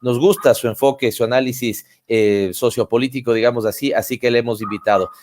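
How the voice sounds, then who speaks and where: A man's voice: medium pitch, fairly even intonation, a little fast, slight background noise. Man hosting a political talk show. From Ecuador. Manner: warm conversational, with frequent "eh" fillers. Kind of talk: interviewing a guest.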